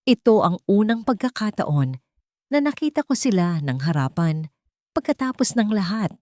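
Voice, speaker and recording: A Filipino woman reading a first-person drama story aloud in a measured, earnest way. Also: mid-range voice, sing-song, darkish tone, no echo, clean studio sound. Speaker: audiobook narrator.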